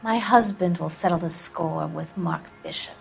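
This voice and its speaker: A woman's voice: fairly low pitch, normal speed, gravelly, faint music behind, poor audio. A woman playing a vain, brassy, evasive American film actress of the 1940s in a video game drama, with a haughty, teasing, theatrical delivery. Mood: flirtatious, teasing.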